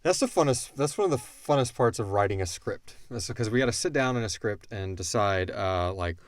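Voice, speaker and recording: Low-voiced man, casual podcast co-host. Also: expressive, fairly quick. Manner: informal, slangy, thinks aloud. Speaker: podcast co-host and audio drama writer. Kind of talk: casual Q&A chat about an audio drama.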